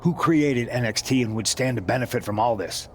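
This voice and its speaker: A man's voice: mid-range voice, fairly quick, slight background noise, natural voice. A man voicing an injured wrestler, bitter and insistent about revenge. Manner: resentful, insistent.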